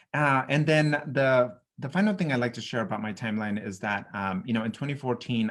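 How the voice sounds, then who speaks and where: Low-voiced man presenting, many fillers. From El Salvador. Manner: measured, with frequent um and uh fillers. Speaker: nonprofit consultant presenting a session. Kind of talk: webinar presentation.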